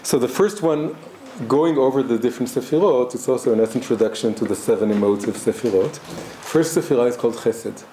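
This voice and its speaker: A man teaches in a very dark, mellow voice, speaking haltingly with many restarts as he thinks aloud. The room is noticeably echoey, with a faint hum of background noise. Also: medium pitch. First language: Hebrew. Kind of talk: reflective spoken class.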